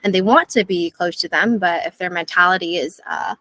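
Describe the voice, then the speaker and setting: A woman's voice: on the low side, fairly quick, fairly bright tone. A young adult woman speaking as an interview guest, earnest and thoughtful, with frequent fillers. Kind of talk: reflective interview conversation.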